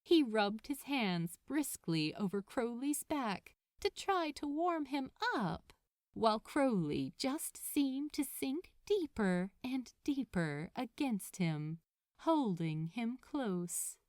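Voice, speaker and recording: A woman's voice: on the high side, big pitch swings, takes it slowly, darkish tone, dry recording, studio quality. A woman reading fiction aloud as a scripted story narrator. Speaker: podfic narrator.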